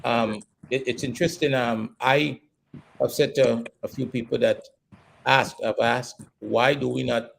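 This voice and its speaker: A man from Trinidad and Tobago, hosting a sports discussion in a deliberate, earnest way with probing questions. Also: mid-range voice, fairly even intonation, vocal fry, slight background noise. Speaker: sports show host. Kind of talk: discussion and interviewing.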